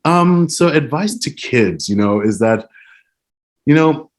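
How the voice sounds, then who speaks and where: A man from Zimbabwe, answering interview questions in an earnest, reflective way with frequent soft laughs. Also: fairly high pitch, animated, slow pace. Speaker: interview guest, music publishing director.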